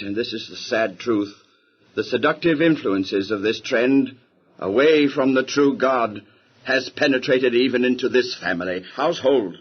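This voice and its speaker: A man's voice: mid-range voice, breathy edge. An actor playing an earnest, devout biblical man in an audio drama, speaking formally and with feeling. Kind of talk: audio Bible drama acting. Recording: dull lo-fi recording.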